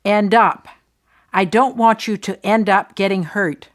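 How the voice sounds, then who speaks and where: A woman reciting phrases for a language lesson, deliberate and clearly enunciated. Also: medium pitch. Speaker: phrase reader.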